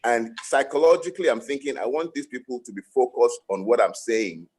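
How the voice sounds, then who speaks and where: A man's voice: mid-range voice, expressive, a little fast, a little mellow, slightly rough. A middle-aged man from Nigeria, a lawyer speaking on a panel and telling reflective stories with frequent restarts. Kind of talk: panel discussion.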